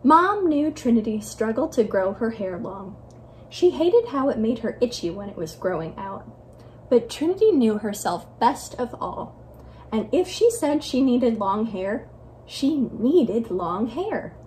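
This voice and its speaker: A young American woman reading a children's story aloud at home as a library storyteller, expressive and carefully enunciated. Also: a little high, measured pace, a little hiss or hum, rough recording. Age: young adult. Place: living room at home, indoors.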